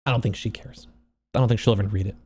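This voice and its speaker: A man speaking off the cuff in a personal vlog, upset and close to crying, halting and repeating himself earnestly. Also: fairly low pitch, steady tone, a little mellow, husky. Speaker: streamer and video creator. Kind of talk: unscripted personal vlog monologue.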